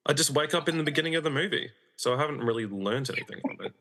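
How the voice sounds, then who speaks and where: A man's voice: a little high, lively intonation, very fast, sharp tone. A man playing a flippant thrill-seeker trapped in a movie, in a conversational comedy radio play. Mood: impatient.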